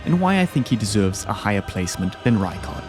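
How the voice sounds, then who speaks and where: A man's voice: fairly low pitch, sing-song, brisk. A man hosting a video, giving casual, opinionated commentary in an informal, slangy way. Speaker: video host ranking game bosses. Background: background music.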